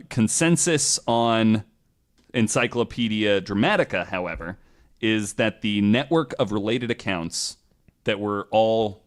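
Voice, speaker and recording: A man hosting a podcast, telling a researched story in a casual, profane and theatrically emphatic way. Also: lowish voice.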